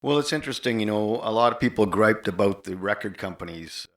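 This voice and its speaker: A man's voice: fairly low pitch, lively intonation, a little fast. An older man hosting a conversational interview. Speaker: podcast host interviewer.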